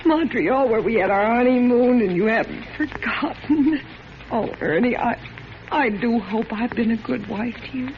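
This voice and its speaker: A woman's voice: on the high side, animated, normal speed. A devoted wife in a vintage radio suspense play. She is sweet, emotional and gushing, with theatrical delivery. The sound is dull and slightly noisy, like an old broadcast. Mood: overjoyed, tearful. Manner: gushing, dramatic. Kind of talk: 1940s radio acting.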